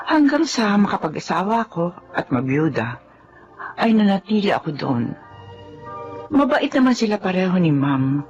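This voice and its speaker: Devoted widowed housemaid mother, middle-aged, earnest, lively intonation, dull radio-drama sound. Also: fairly low pitch. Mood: reflective. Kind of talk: Filipino radio drama acting.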